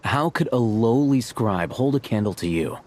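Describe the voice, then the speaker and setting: An actor playing a cool, aloof scholar with dry, deadpan delivery. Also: male voice, medium pitch, moderate pace, slight background noise, natural voice. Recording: game audio with faint music.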